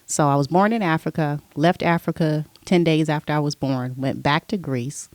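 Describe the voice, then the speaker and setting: A middle-aged woman, a podcast guest, telling stories from her past in a relaxed, conversational way. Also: deep voice, moderate pace, no echo. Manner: relaxed storyteller, recounting her past at length. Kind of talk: casual interview chat.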